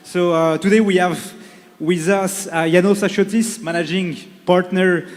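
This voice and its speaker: A man's voice: high voice, steady tone. Upbeat man moderating a panel. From France. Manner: upbeat, halting with many fillers. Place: conference stage in a large hall. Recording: long hall reverb.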